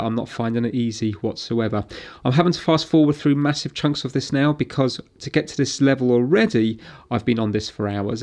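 A man's voice: medium pitch, darkish tone. A middle-aged man giving casual, informal commentary, thinking aloud as he works on a repair. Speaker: repair video host. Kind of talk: casual hands-on commentary while working.

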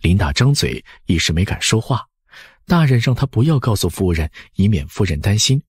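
Guy reading an audiobook, really husky, animated pitch, scripted dramatic narration, dry sound. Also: normal speed, no room sound.

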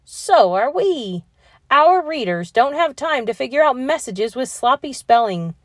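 A woman's voice: sits high, sing-song, a little slow, no echo, clean studio sound, pitched above the performer's own voice. A woman voicing a scornful, exasperated newspaper editor from a storybook in an emphatic, scolding manner.

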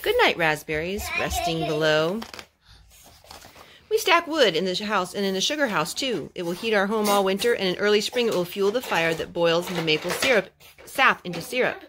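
Young mom type woman reading a kids book out loud at home, careful phrasing, normal pitch, noisy homemade recording w clicks. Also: expressive, moderate pace. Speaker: storytime host reading to her children. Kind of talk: children's picture book read-aloud. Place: carpeted room at home. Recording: clear background noise and clicks, homemade.

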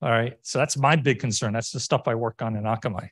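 A man's voice: mid-range voice, quick pace, slightly rough. A man moderating a roundtable in an informal, conversational way. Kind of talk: informal facilitating of a discussion.

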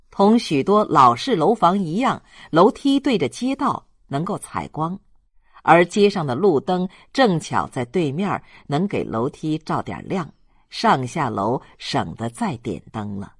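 An audiobook narrator reading a literary story in a measured, dramatic storytelling manner. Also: medium pitch, breathy edge, dry recording, clean studio sound.

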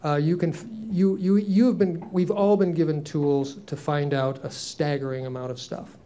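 A man's voice: fairly high pitch, dark tone, smooth. A man in his sixties answering on a conference panel, earnest and reflective, with frequent ums and restarts into a handheld mic. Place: conference room, seated on stage.

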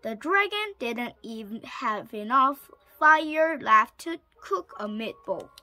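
A woman's voice: a little high, lively intonation, slow pace, bright, forceful tone. A woman reading a children's picture book aloud. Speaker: storybook reader.

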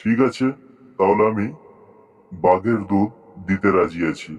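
A man's voice: low voice, a little slow, a little mellow, breathy edge. A man voicing a boastful, gullible tiger uncle in a cartoon, blustering and impatient. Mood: agreeing. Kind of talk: cartoon story dialogue.

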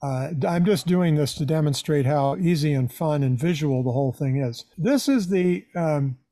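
A man's voice: a little high, normal speed, mellow, no room sound, studio quality. An older man giving an informal live tutorial, explaining things in a conversational way. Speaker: presenter, hobbyist teaching video editing.

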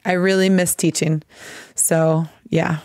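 A woman's voice: fairly low pitch, flat delivery, darkish tone, no room sound, clean studio sound. American woman teaching English on a call. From United States. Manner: switches between English and halting Portuguese, explains phrases slowly. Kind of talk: live video-call lesson. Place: home room, seated at desk. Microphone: desk microphone on video call.

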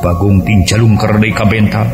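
Echoey, low-voiced Sundanese male storyteller. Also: steady tone, moderate pace. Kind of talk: dramatic folk-tale narration. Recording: echoey voice over music. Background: music.

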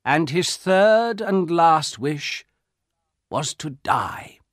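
A man voicing a grave old soldier in a storybook, warning others slowly and solemnly. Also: fairly high pitch, expressive, dry recording, clean studio sound, pitched above the performer's own voice.

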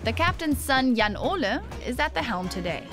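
A young adult woman presenting a travel show, speaking in clear, measured scripted narration. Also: sits high, big pitch swings, loud music behind the voice.

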